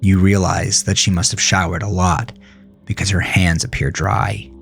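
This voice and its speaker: A man's voice: low voice, flat delivery, moderate pace, creaky, faint music behind. A man narrating a horror story in a dramatic, grave and suspenseful manner. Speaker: author narrating his own horror fiction.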